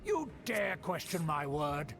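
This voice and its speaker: A man's voice: a little high, very animated, takes it slowly, vocal fry, music in the background, full character voice. An actor playing a pompous, scheming male aristocrat in a video game, speaking with formal, indignant entitlement. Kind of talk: theatrical video game acting.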